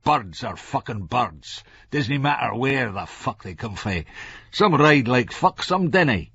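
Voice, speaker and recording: A man's voice: lowish voice, unhurried, vocal fry, fairly bright tone, breathy edge, no room sound, muffled recording, pitched above the performer's own voice, full character voice. A man voicing a menacing, foul-mouthed Scottish tough in an audiobook, pushy and scornful. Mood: snapping. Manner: pushy, scornful, coldly insistent.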